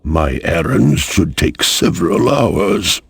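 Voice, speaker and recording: Casting: haughty bodyguard for fantasy game. Gravelly, formal, unhurried, with big swooping intonation. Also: male voice, low-pitched, big pitch swings, dry recording, studio quality, heavily acted. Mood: condescending. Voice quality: gravelly, husky. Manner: pompous, dryly formal. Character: haughty male bodyguard and lawman, dryly condescending. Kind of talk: video game cutscene acting.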